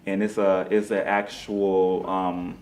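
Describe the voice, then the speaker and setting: A man's voice: low voice, flat delivery, slow pace, a little mellow, a little hiss or hum. A man being interviewed as a playwright, talking casually and conversationally, often saying "you know.".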